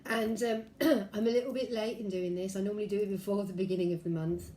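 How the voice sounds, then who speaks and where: A woman with a head cold, her voice a little croaky, giving a chatty angel card reading at home with many ums and throat clearings. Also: medium pitch, fairly even intonation, fast, mellow, slight background noise. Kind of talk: casual spiritual vlog talk. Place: room at home by a window.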